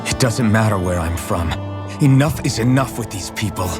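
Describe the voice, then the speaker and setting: Resolute young swordsman voiced by a man, even mid voice, loud music bed. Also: fairly low pitch, moderate pace, lightly acted. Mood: earnest. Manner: earnest and resolute. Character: determined young male swordsman hero. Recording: game audio over loud music.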